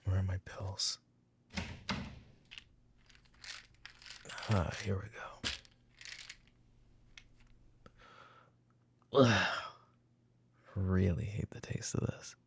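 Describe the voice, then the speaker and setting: A man playing a weary, short-tempered mafia boss father in a roleplay audio drama, with a gravelly, husky voice and a commanding, curt manner. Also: deep voice, steady tone, a little slow, full character voice. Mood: tired, muttering. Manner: commanding, curt, slipping into hushed muttering. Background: background music.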